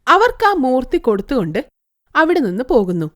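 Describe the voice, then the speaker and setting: Woman, high voice, animated melody, scripted storytelling, moral tale narration. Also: a little fast.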